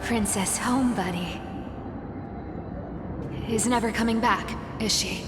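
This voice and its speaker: A skeptical, guarded young woman in a video game. She drawls slowly and wryly in a slightly high voice. Music plays behind her in a reverberant space. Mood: bitter, skeptical. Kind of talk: dramatic video game voice acting. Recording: echoey long reverb under music.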